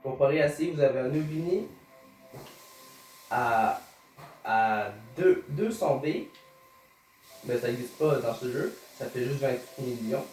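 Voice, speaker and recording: A man's voice: mid-range voice, a little slow, pauses often, darkish tone, slight background noise. A Canadian man with a Québécois accent, commenting casually on a game he is playing. He talks hesitantly, stammering and using many fillers. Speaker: gaming YouTuber. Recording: roomy echo, distant mic, music behind.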